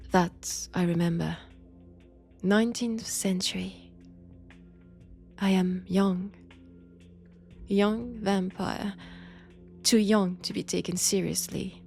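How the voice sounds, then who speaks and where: Woman playing a slow, creaky-voiced French-accented vampire. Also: mid-range voice, fairly even intonation, faint music behind. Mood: brooding, possessive. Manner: slow, hushed, intimate, often slipping into whispers. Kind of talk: roleplay audio monologue.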